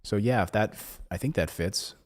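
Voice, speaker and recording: Guy with a really low voice rambling on a live stream, lots of likes, kinda losing his voice. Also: normal speed, a little mellow. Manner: rambling, many "like" fillers and restarts. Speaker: live show host. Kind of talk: casual live-stream chat, reading viewer comments.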